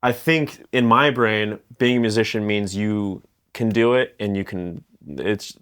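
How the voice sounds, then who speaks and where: A man's voice: on the low side, unhurried, fairly bright tone, clear, resonant tone. A man in his thirties chatting casually as a podcast guest, loose and profane, often breaking off and restarting. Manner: loose, profane, self-interrupting.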